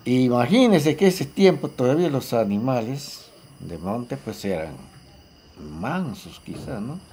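An older man with a Peruvian accent recalling the past informally, haltingly and with repeated phrases. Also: mid-range voice, expressive, dark tone, slightly rough. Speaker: local resident giving testimony. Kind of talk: casual spoken reminiscence.

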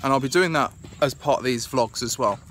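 A British man in his forties talks to a handheld phone with a croaky, cold-roughened voice and a bright, forward tone. He rambles cheerfully with fillers, and faint outdoor noise sits behind him. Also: mid-range voice, a little fast. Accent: British English. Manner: chatty, thinking aloud with many fillers. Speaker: family vlogger. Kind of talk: casual vlog to camera. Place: outdoors in a large garden and meadow. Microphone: phone camera held at arm's length.

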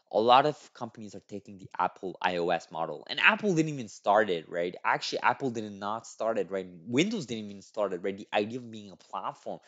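Man, young adult, non-native speaker, fairly low voice, dull lo-fi headset sound, restarts words. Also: a bit bright. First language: not English. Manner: repeats and restarts words, many "right?" tags. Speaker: channel host. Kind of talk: reading and commenting on a thread. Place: small room at a desk. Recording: dull lo-fi audio.

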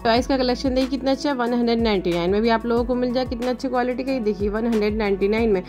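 A woman shopping vlogger walking through store aisles with her phone, pointing out products and prices in brisk, upbeat, salesy patter. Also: on the high side, a little mellow, soft background music. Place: indoor retail store aisles.

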